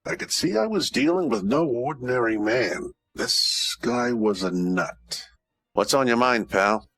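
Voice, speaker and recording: Man playing a deadpan hardboiled Roman private eye. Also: medium pitch, measured pace, no echo. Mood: dry, deadpan. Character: wisecracking hardboiled ancient Roman private detective, male. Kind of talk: old-time radio detective comedy narration.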